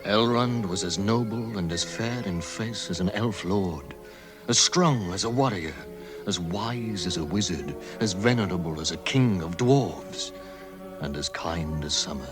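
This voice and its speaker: An actor playing a composed storybook narrator in a 1960s radio drama, delivering scripted narration. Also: male voice, low-pitched, lively intonation, measured pace, music in the background. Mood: storytelling. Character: composed male storybook narrator of a fantasy adventure.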